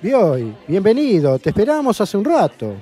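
A man's voice: high-pitched, huge pitch swings, moderate pace, mellow. A man with an Argentine accent playing a hearty, hospitable friend hosting dinner in a radio play. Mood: welcoming.

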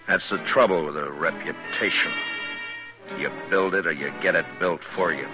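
Deep-voiced, stern frontier marshal. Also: male voice, expressive, moderate pace, dull audio. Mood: weary, brooding. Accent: American. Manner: terse, commanding. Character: stern, weary frontier marshal, a grown man. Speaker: lead actor and first-person narrator. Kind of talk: 1950s radio western acting and narration. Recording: old radio drama recording, music behind.

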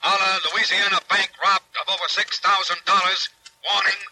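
An actor playing an urgent police radio dispatcher, reading clipped bulletins in 1940s radio style. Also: male voice, high-pitched, little pitch movement, moderate pace, close to an everyday voice.

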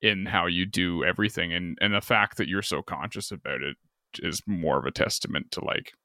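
A man's voice: low voice, brisk, bright, forceful tone, dry recording, clean studio sound. A man hosting a casual chat, laid-back and full of fillers. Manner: laid-back, filler-heavy, says "like" a lot.

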